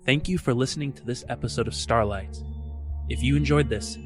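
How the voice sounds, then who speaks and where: Male announcer, medium pitch, scripted show outro, clear music bed. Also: fairly quick. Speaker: podcast announcer.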